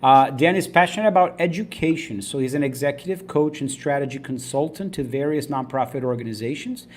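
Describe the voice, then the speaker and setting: A man's voice: mid-range voice, a little fast. A man hosting a podcast, introducing his guest and asking questions in an upbeat, enthusiastic, conversational way. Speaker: podcast host. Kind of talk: casual interview conversation.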